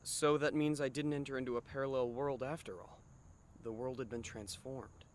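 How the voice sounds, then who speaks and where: An actor playing a puzzled high school boy in an anime fan dub, asking hesitant questions. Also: steady tone, moderate pace, a little hiss or hum, lightly acted. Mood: confused, probing. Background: background music.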